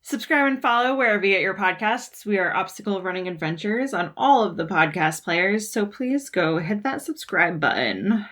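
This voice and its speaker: Woman, podcast co-host, playful, teasing, medium pitch. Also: expressive, moderate pace, hardly any pauses, fairly bright tone. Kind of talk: casual podcast banter.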